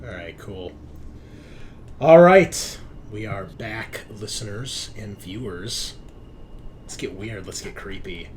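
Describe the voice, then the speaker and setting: A man's voice: fairly low pitch, expressive, slow pace, darkish tone. A man hosting a tabletop game as game master, narrating casually with joking, sweary banter. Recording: background noise, room ambience.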